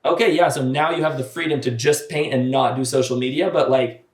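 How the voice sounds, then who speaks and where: An earnest, talkative man in his thirties chats on a podcast, leaning on "like" as he works through his points. His voice sits at an ordinary middle pitch. The room around him rings with a noticeable echo. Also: brisk. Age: 30s. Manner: talkative, earnest, many "like" fillers. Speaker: artist, podcast guest. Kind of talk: casual podcast conversation. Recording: roomy echoey room sound.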